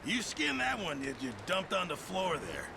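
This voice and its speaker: An actor playing an older, folksy camp cook in a Western video game, fond of rum and jokes, with a gravelly voice. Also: male voice, sits high, wildly swooping pitch, moderate pace, full character voice. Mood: chatty. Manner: folksy, jocular, deferential, rambling. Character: older jolly rum-drinking camp cook in the American West. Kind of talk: video game cutscene acting. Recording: clear background ambience noise.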